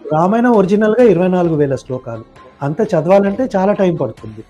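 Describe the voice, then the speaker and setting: High-pitched, animated man explaining on a podcast. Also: quick pace, faint music behind. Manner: didactic, opinionated, explains point by point. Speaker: podcast guest.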